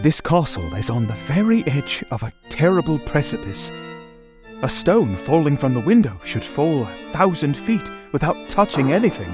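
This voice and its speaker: An actor playing an anxious, imprisoned gentleman recounting horrors in a dramatic vintage radio style. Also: a little high, very animated, moderate pace. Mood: trapped, desperate. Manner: dramatic, haunted, emphatic. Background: dramatic music.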